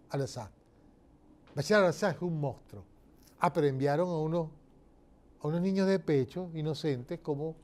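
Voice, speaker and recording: Higher-pitched Venezuelan man lecturing. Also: a little mellow. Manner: emphatic, didactic, rhetorical questions and check-ins. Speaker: political commentator giving a lecture. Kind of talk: informal explanatory lecture on camera.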